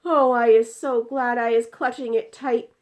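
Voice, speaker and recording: A woman's voice: a little high, steady tone, moderate pace, a little mellow, pitched above the performer's own voice, natural voice. A woman voicing an indignant, distressed giant from a storybook, exclaiming in mangled grammar and drawing out words emphatically. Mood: exasperated, dramatic.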